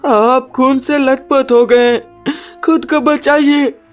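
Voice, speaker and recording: A man's voice: very high-pitched, fairly even intonation, slow pace, soft background music, pitched above the performer's own voice. A man voicing a frightened young boy in a cartoon story, anxious and pleading with devotion. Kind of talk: dramatic cartoon story acting.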